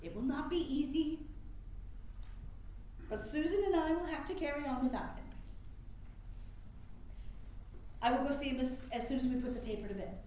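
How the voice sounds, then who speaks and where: A haughty nineteenth-century women's rights leader acted by a woman speaks in a high voice with formal, imperious emphasis. It is a staged play reading in a very echoey room with faint noise behind it. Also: brisk, mellow, natural voice. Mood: firm, grudging. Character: haughty, combative 19th-century suffragist leader, Elizabeth.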